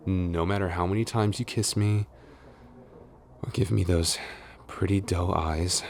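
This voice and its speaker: A man playing a flustered tsundere boyfriend and coworker, grumbling but smitten, in an intimate, hesitant, mock-scolding ASMR audio roleplay. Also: sits low. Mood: exasperated, firm. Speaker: voice actor.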